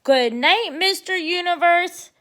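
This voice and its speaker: A cheeky little girl put on by a woman reading aloud, high and bright. She is the teasing little sister of a storybook, playing up every word. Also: slow, exaggerated voice. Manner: teasing, exaggerated.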